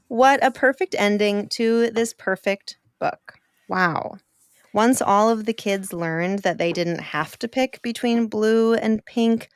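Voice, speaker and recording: Bright-voiced woman hosting a kids' podcast. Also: medium pitch, smooth, no echo, clean studio sound. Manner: warm encouraging, slow and emphatic. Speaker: children's podcast host. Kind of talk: enthusiastic talk to children.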